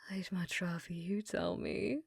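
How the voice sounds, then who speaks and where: A woman playing an obsessive, flirtatious scientist in an intimate ASMR roleplay, with soft breathy speech and precise clinical phrasing. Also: fairly low pitch, a little slow, no room sound, studio quality, heavily acted. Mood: obsessive, longing. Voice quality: soft, breathy, often whispered.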